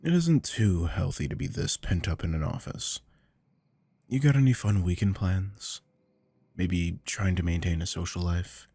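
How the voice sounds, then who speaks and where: Male actor as domineering office boss, very low dark voice, husky, controlled, weary, ASMR roleplay. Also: unhurried, no echo, exaggerated voice. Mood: weary, confiding. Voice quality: deep. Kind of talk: scripted ASMR roleplay acting.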